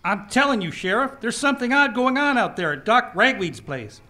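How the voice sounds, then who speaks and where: A man's voice: very high voice, sing-song, a little fast, sharp tone, full character voice. A man voicing a fretful small-town local who reports odd goings-on in a jittery, insistent way. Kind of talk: comic radio play acting.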